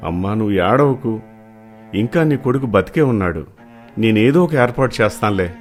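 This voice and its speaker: An actor playing a poor, humble, weary village cobbler in an animated moral story, earnest and pleading. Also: male voice, lowish voice, moderate pace, faint music behind, natural voice. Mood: consoling.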